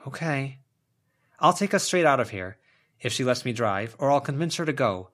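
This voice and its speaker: Male reader as worried, conciliatory young woman, gently hesitant, raised pitch, audiobook character. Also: lively intonation, normal speed. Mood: flustered, pleading. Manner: hesitant, placating.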